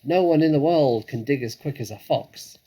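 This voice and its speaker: A man voicing a proud, anxious, quick-witted father fox in a storybook, urgent and exclamatory. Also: medium pitch, warm tone, pitched above the performer's own voice, lightly acted, low-quality recording. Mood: urgent, excited.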